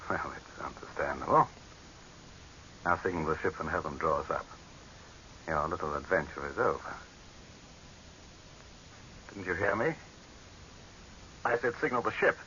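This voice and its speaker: An imperious dictator in a 1930s radio horror play. His low voice is forceful and piercing, swinging dramatically as scornful command gives way to panic. The old recording is dull and faintly hissy. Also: expressive, measured pace, long pauses, full character voice. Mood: imperious, impatient. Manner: imperious, haughty, barking orders. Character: pompous tyrannical male dictator, commanding, scornful, cracking into panic.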